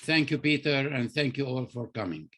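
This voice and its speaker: A man giving deliberate, exhorting closing remarks on a webinar, repeating key words for emphasis. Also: mid-range voice, lively intonation, fairly bright tone. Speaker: webinar speaker. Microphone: video call.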